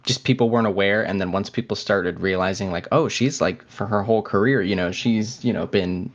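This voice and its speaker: A man's voice: on the low side, fairly quick. A man co-hosting a podcast in a casual, conversational style. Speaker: podcast co-host. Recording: slightly dull, lo-fi sound.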